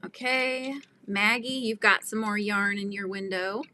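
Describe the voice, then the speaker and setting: Woman, middle-aged, bright tone, chatty livestream host, laughs a lot. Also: mid-range voice, fairly even intonation, unhurried, a little hiss or hum. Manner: chatty, reads viewer comments aloud, laughs often. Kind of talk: casual chat while crocheting.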